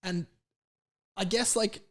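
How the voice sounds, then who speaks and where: A man's voice: high-pitched, steady tone, slow, a bit bright. A man with an Australian accent giving a casual opinion monologue as a podcast host, hesitant and often questioning himself as he rambles.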